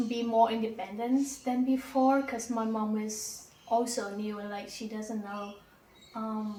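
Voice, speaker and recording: A woman's voice: fairly high pitch, darkish tone, reverberant, recorded from a distance, a little hiss or hum, poor audio. A young woman from Vietnam with a Vietnamese accent, telling her story in an interview, hesitating often with ums. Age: young adult. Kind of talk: casual personal interview.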